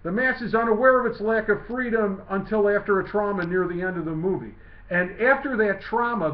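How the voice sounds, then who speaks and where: A man reading his own literary essay aloud in a measured, declamatory way. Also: sits high. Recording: muffled lo-fi, roomy echo.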